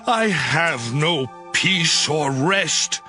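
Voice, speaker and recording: A man's voice: fairly high pitch, animated, extremely slow, music in the background. An actor playing a devout, suffering man crying out to God with impassioned, mournful delivery in a dramatized audio Bible. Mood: anguished lament.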